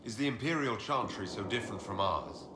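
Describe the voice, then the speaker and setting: A man's voice: fairly low pitch, expressive, fairly quick, roomy sound, background noise, close to an everyday voice. A man playing a curious, earnest fantasy adventurer in a video game, asking things in a plain, inquisitive way. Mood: probing. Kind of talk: video game dialogue acting.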